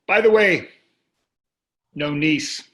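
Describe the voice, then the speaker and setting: A man acts a privileged husband in his sixties in a play reading. His voice sits slightly high for a man, and he speaks in dry, clipped, dismissive remarks with a blasé air. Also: slow, fairly bright tone. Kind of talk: staged play reading over video.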